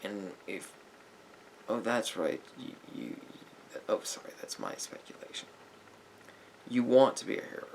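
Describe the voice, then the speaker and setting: A man playing a sympathetic therapist in an ASMR roleplay, giving gentle advice in a halting, repetitive, hedging way. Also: on the low side, big pitch swings, gravelly, slight background noise, low-quality recording. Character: calm, sympathetic male therapist counselling a client.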